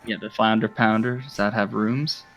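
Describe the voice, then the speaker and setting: A man's voice: fairly low pitch, fairly even intonation, normal speed, fairly bright tone, faint music behind. Easygoing man playing a tabletop game. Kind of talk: casual play.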